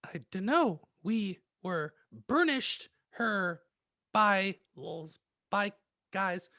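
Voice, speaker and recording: A woman's voice: medium pitch, lively intonation, very slow, bright, forceful tone, dry recording, clean studio sound, pitched below the performer's own voice, full character voice. A woman playing an angsty, foul-mouthed goth teenage girl in a melodramatic, slangy comic reading. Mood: aggrieved, gloomy.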